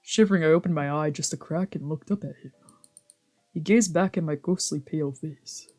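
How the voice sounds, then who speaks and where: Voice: mid-range voice, moderate pace, a little mellow, faint music behind. A streamer with a slangy, sweary way of talking, chatting casually and reading visual novel text aloud.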